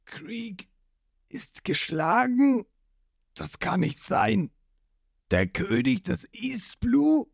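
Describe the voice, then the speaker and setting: A man's voice: high-pitched, very slow, gravelly, husky, no room sound, studio quality, pitched above the performer's own voice, exaggerated voice. A man voicing a shaken young male pirate who speaks in stunned, shaky disbelief.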